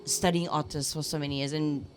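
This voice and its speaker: A woman interviewer with a Malaysian accent and a fairly low voice. She speaks effusively and informally, as a host chatting with a guest. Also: steady tone, a little fast, soft background music. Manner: effusive, switches between English and Malay. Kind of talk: casual conversational interview.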